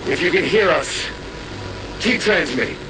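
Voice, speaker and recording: A man's voice: fairly high pitch, animated, measured pace. A man playing a disciplined military starship officer in a video game, clipped and commanding. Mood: urgent hailing. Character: male starship officer, brisk, disciplined military radio operator. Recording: loud game music and vehicle noise behind.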